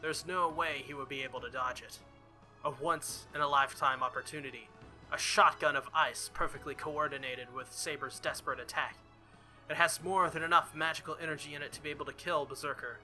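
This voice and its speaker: A man's voice: on the high side, steady tone, fairly quick, bright, forceful tone, faint music behind, rough recording. A man doing a visual novel playthrough, reading the story aloud dramatically and dropping in casual asides. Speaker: let's player.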